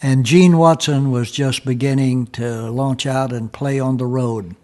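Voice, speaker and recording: An older man, reminiscing casually and haltingly as he searches for names. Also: mid-range voice, steady tone, darkish tone. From Southern US, Tennessee and Kentucky. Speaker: guest country singer and storyteller.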